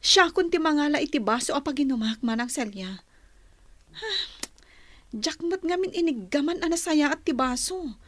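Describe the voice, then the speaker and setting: A gentle, apologetic young señorita in a Filipino radio drama. Her voice is extremely high, with faint noise in the recording. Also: animated, moderate pace.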